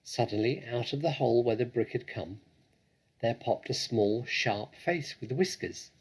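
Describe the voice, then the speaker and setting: A man reading a children's story aloud as an expressive narrator, with deliberate, dramatic phrasing. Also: mid-range voice, slightly rough, rough recording. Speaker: story reader.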